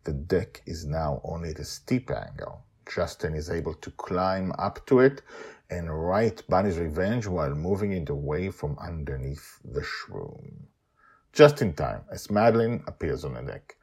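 Deep, raspy, animated male storyteller. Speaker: storyteller and podcast host. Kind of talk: dramatic narration of a serial fantasy story.

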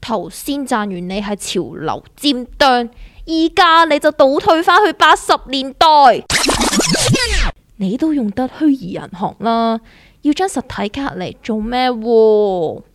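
Woman acting as high-pitched, cheeky novice investor. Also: very animated, measured pace, dry recording. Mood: teasing. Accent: Hong Kong. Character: cheeky, curious novice investor, sceptical and exclamatory. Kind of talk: Cantonese comic radio drama dialogue.

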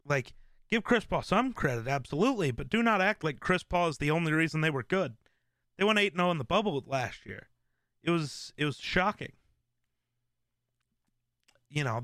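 A man hosting a live stream, chatting casually in a rambling way with lots of fillers and restarts, in a relaxed voice. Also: fairly high pitch, brisk, no room sound, clean studio sound. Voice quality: relaxed, smooth voice. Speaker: streamer host.

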